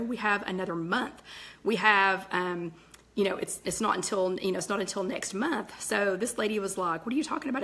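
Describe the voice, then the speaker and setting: A middle-aged woman, her voice raspy and nearly gone, hosting a live chat and greeting viewers by name between thoughts. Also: medium pitch, a bit bright. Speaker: host of a live weight-loss chat.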